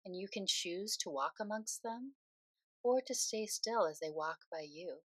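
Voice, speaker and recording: A woman leading a guided meditation in a soothing, unhurried way, speaking phrase by phrase with pauses. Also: mid-range voice, animated, fairly bright tone, no room sound.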